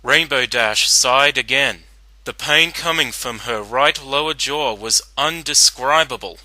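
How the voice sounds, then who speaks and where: A man reads a story aloud as narrator in a dramatic style. His voice sits at a medium pitch with a very bright, forceful edge, recorded dry and close. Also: measured pace, dry recording, poor audio.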